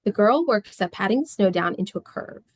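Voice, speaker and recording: A woman's voice: medium pitch, expressive, fairly quick, no echo. A woman reading stage directions as scripted narration at a table read.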